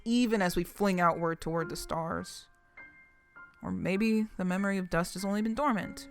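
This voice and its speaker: A woman's voice: on the low side, a bit bright. An actress playing a reflective, homesick refugee woman reading her secret diary in an audio drama, confiding and wry. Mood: pensive.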